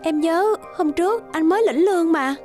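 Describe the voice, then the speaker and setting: Casting: gentle young cartoon girlfriend, high voice, sincere, light music bed. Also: moderate pace. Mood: soft, sincere. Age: young adult. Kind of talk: cartoon voice acting.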